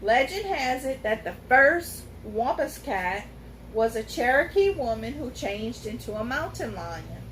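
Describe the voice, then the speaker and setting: A woman voicing an old, wise, fussy talking tomcat who speaks in a measured, lecturing way. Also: a little high, very animated, a bit bright, a little hiss or hum. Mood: grave. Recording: roomy room, faint ambience.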